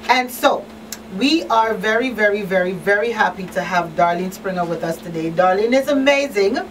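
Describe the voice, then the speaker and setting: A woman's voice: medium pitch, moderate pace. A woman hosting a live call-in radio talk show in an informal, unscripted way. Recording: slightly distant mic, faint noise.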